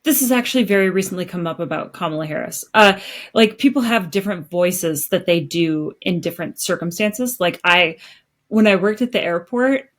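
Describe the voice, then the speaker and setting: A woman from the United States co-hosting a podcast in casual chat, talking in a chatty, animated way with quick asides. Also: on the low side. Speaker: podcast co-host.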